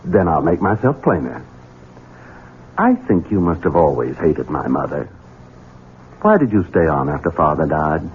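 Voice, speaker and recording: A tinny, hissy old radio recording carries a low-pitched, swooping voice: a sinister grown son and heir. He is scheming, coldly cruel and theatrically taunting in classic radio-play style. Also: sing-song, moderate pace, pauses often. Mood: sly, insinuating. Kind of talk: 1970s radio drama acting. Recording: tinny, band-limited 1970s radio with hiss.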